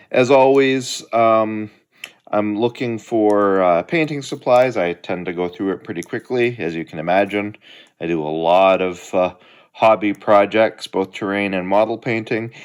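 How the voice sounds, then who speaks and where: Relaxed, chatty middle-aged man host. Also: on the low side, clear, resonant tone. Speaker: hobby channel host. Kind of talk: casual unboxing chat. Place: home.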